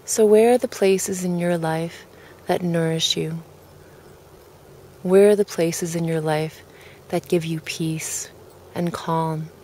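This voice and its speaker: A woman leading a guided relaxation in a calm, gentle way, with deliberate, pausing phrases. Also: fairly low pitch, slight background noise. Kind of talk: guided meditation, soft spoken invitation.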